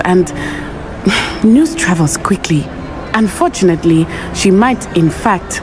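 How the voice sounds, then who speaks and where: A woman playing a bold, earnest teenage witch student in a video game, speaking deliberately and formally. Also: medium pitch, lightly acted. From southern Africa. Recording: music behind the voice.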